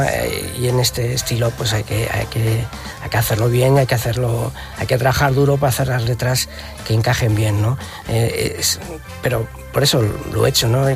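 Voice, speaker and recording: A man who sings, interviewed in Spanish in a casual radio talk, speaking haltingly with many fillers and repeated words. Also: mid-range voice, creaky. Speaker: singer and interviewed musician.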